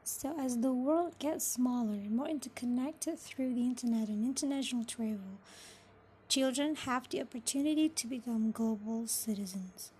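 A woman's voice: fairly high pitch, moderate pace, mellow, husky. A young woman from Indonesia, a native Indonesian speaker, talking thoughtfully about learning English and reading points from a handout. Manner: thoughtful, explaining, reads from a handout. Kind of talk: educational podcast talk.

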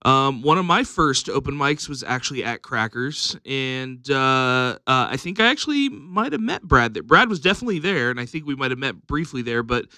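Bright, smooth-voiced man, halting delivery. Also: medium pitch, expressive, no echo. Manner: hesitant, many fillers. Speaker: comedy showcase producer and comedian. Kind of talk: casual podcast chat.

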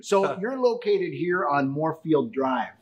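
A man's voice: a little high, big pitch swings, takes it slowly. A man hosting a casual interview, affirming often with repeated short agreements. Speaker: interviewer, show host.

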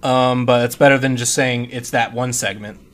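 A man's voice: mid-range voice, steady tone, normal speed, fairly bright tone. A man co-hosting a podcast in casual chat, informal and self-deprecating with some mild swearing. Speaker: podcast co-host.

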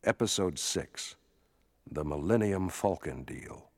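A man narrating an epic space saga for a radio drama in a measured, solemn storytelling style. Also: low voice, lively intonation, very noisy, heavily acted. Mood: solemn, grave.

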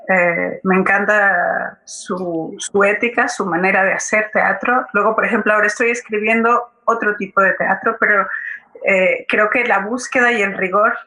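Bright-voiced woman, conversational guest. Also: mid-range voice, low-quality recording. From Colombia. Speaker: guest playwright and theatre director. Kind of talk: conversational account in a public forum.

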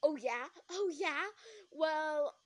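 A woman's voice: high voice, lively intonation, unhurried, dry recording. Woman acting out an exasperated, snappy young man. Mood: angry, confrontational. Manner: exasperated, blunt, emphatic.

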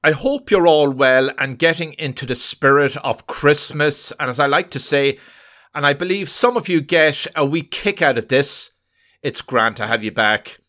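A man hosting a podcast, reading a scripted introduction in a measured way. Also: fairly high pitch, sharp tone. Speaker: podcast host.

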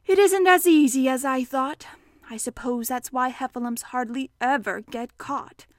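A woman acts out a gentle male bear in a children's storybook. He is naive and slow-thinking, drawing out his words as he ponders. The recording is dry and free of room sound. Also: high-pitched, no room sound, natural voice. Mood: pondering. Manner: pondering, hesitant, drawn-out.

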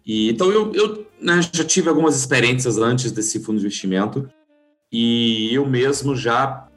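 A man's voice: mid-range voice, lively intonation, normal speed, faint music behind. A man in his thirties with a German accent, a guest speaking Portuguese as a second language, talking hesitantly with restarts and fillers. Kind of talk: conversational interview.

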